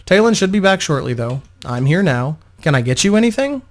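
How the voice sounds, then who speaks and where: A man's voice: fairly high pitch, big pitch swings, a little fast, voice pushed up. A man voicing a composed, protective female adventurer in a fantasy story, reassuring and matter-of-fact. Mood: soothing. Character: composed, protective woman adventurer, soothing and decisive. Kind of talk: dramatic fantasy story reading.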